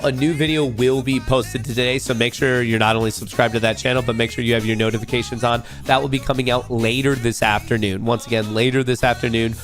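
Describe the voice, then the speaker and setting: A man hosting a casual livestream, slightly nasal as he gets over a cold, joking loosely and talking straight to his chat. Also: medium pitch. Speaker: livestream host.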